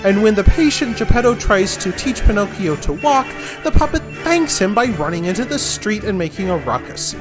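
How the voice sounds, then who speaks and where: A man narrating a scripted history of a fairy tale in an expressive storytelling manner. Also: high-pitched, moderate pace, few pauses, music in the background. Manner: expressive, lively storytelling delivery. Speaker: host.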